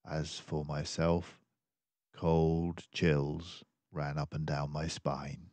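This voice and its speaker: An older man reading a children's book aloud in an expressive narrating style. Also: rumbling low, drawn-out words, no room sound. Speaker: storybook reader.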